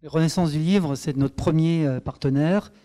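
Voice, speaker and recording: A man's voice: on the high side, moderate pace, mellow. Belgian man presenting at a meetup. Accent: Belgian French. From Belgium, Brussels. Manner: hesitant, many fillers and restarts, then emphatic. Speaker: startup co-founder presenting at a meetup. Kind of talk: conference presentation.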